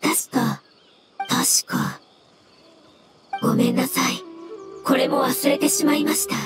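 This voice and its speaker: Gentle, high-voiced fungus creature. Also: female voice, level tone, over music. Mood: wistful, sincere. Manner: courteous, measured, formal phrasing. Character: gentle, polite elemental creature in jellyfish-like fungus form. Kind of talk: scripted game dialogue.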